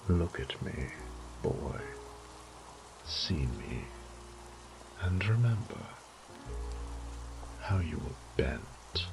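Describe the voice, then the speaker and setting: A man playing a suave, sadistic vampire lord in a horror audio roleplay, with a theatrical, ornate, taunting delivery that often drops to a breathy whisper. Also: low voice, heavily acted, poor audio. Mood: coaxing, menacing. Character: suave, sadistic male vampire lord toying with his captive prey.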